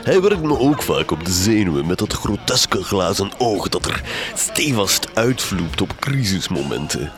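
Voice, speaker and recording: A man's voice: low-pitched, big pitch swings, a little slow, over music, full character voice. A man with a Flemish accent playing a pompous, sardonic, scheming doctor with florid speech in a gothic comedy radio drama. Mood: sardonic gossip. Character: pompous, scheming doctor, grandmaster of a secret society.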